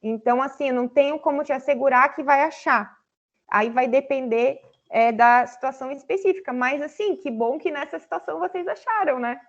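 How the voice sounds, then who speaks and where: A woman with a high voice gives a patient, filler-laden explanation in answer to questions from a seminar audience. She speaks over a video call, and the audio sounds a little dull and muffled. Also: fairly quick. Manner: explanatory, many fillers like "né" and "assim". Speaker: guest lecturer. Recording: slightly dull online audio.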